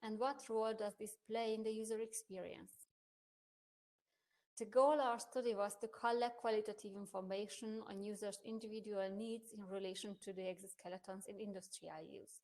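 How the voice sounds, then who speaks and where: A young woman whose first language is Hungarian, a research associate presenting a prerecorded conference paper in a measured, careful academic manner. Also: medium pitch, fairly even intonation, a little mellow. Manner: measured, careful academic delivery with occasional restarts.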